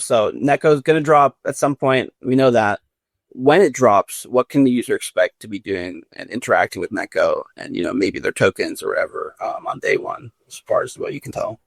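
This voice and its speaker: Relaxed male host, mid pitch with a gravelly edge, dry close-mic sound. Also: dry recording. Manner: laid-back, slangy questions. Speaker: podcast host. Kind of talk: casual podcast interview.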